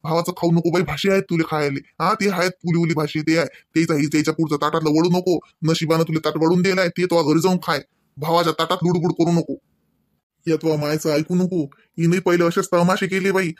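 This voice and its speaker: A man's voice: on the high side, level tone, a little fast, no room sound, clean studio sound, natural voice. A man acting a stern, pleading old village father in a comedy cartoon, lecturing insistently in a rural Varhadi Marathi accent with questioning tags. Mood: stern, admonishing. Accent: Varhadi Marathi, rural Vidarbha.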